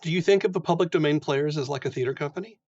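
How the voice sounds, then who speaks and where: A man's voice: fairly high pitch, rapid-fire, darkish tone. A man interviewing conversationally as a podcast host, on a slightly lo-fi recording. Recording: slightly dull, lo-fi sound.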